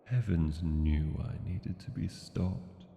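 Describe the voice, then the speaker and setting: A man playing a brooding, regretful dragon king in a fantasy audio roleplay, speaking in solemn, deliberate phrases with a deep, gravelly voice. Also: steady tone, warm tone, echoey room, long reverb tail, slight background noise, full character voice. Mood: wistful, tender. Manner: slow, deliberate, solemn phrasing with long pauses. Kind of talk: scripted fantasy audio roleplay, intimate monologue.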